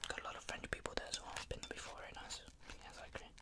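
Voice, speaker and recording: A breathy, unvoiced whisper in an ASMR barbershop roleplay, with a person playing a barber making casual, rambling small talk with a customer. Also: racing.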